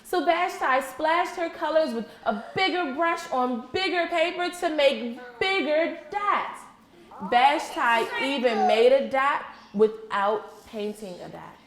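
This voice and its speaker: A woman's voice: high voice, expressive, slow, fairly bright tone. A woman teacher doing an interactive read-aloud for young children, patient and encouraging, prompting them with questions and repeating answers. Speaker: preschool teacher. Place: indoor classroom with children. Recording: echoey room with faint background noise.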